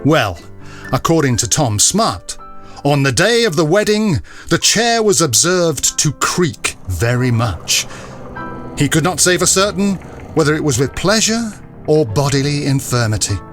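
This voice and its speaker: A man's voice: on the high side, big pitch swings, a little slow, fairly bright tone, soft background music. A man narrating a classic period story in a scripted, dramatic style.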